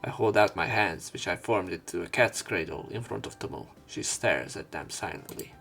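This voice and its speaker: Male let's play narrator, low and creaky, reads game text aloud casually, faint music behind. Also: lively intonation, normal speed, fairly bright tone. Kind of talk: reading a visual novel aloud with casual commentary.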